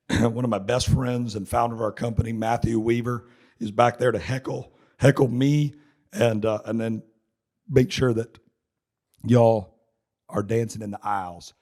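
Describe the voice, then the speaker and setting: A middle-aged man from North Carolina, giving a joking, chatty seminar talk with a raspy voice hoarse from laryngitis. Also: mid-range voice, fairly even intonation, a little slow, pauses often, darkish tone. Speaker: seminar speaker. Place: conference hall. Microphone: handheld mic.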